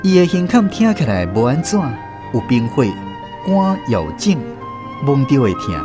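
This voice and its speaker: A man narrating a radio drama as host, telling the story with expressive delivery. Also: on the high side, normal speed. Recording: music playing under the voice.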